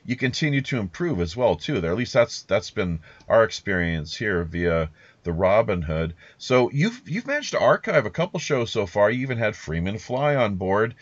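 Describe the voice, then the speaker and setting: Deep-voiced male podcast host, slightly muffled. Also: very animated, normal speed, no room sound. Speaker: podcast host interviewing a guest. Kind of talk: casual rambling interview talk. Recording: slightly dull, muffled lo-fi audio.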